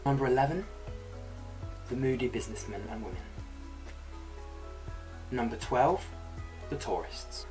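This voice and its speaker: A young man hosts a comedic list video from a bedroom. His voice is medium in pitch, picked up by a camera at arm's length, with music clearly playing behind him. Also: expressive, unhurried, long pauses, darkish tone, poor audio. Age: young adult. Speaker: video host. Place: small bedroom.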